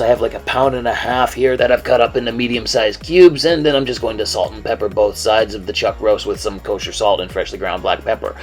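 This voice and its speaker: A young man with a hoarse, stuffed-up voice, chatting his way through a recipe on his cooking channel. He keeps it laid-back and self-deprecating, tossing in the odd curse word, at an everyday mid pitch over faint background music. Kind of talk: casual recipe walkthrough.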